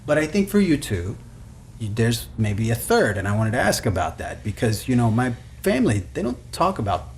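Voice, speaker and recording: A man's voice: mid-range voice, sing-song, moderate pace, a little hiss or hum. A man from Kentucky with an American accent, chatting casually and circling around his questions as an interviewer. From Kentucky, United States. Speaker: interviewer in a conversation with musicians. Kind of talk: casual chat.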